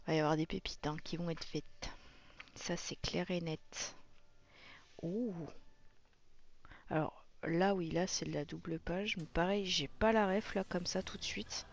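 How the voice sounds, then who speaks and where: A woman's voice: on the low side, steady tone, unhurried. A woman giving casual, enthusiastic live commentary, exclaiming in awe as she reviews something. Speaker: host of a colouring book flip-through. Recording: muffled sound with music underneath. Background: music.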